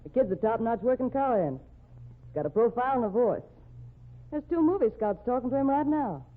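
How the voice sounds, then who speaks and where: A woman's voice: on the high side, animated, a little fast. An actress playing a tough, outspoken cowgirl wife with blunt, slangy talk in an old-time radio drama. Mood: teasing. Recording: tinny, band-limited old radio recording.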